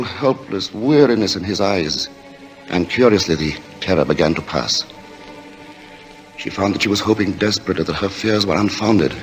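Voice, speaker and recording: A man narrating a suspense story in 1940s radio style, grave and deliberate, with dramatic pauses. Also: lowish voice, close to an everyday voice. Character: grave storyteller of a creeping murder mystery.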